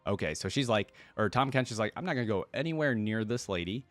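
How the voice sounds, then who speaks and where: Male reaction host, lowish voice, dry close sound, conversational read-and-comment delivery that thinks out loud. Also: expressive, quick pace, no room sound, soft background music. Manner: conversational, thinking aloud, musing. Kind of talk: casual reaction commentary, reading aloud and commenting.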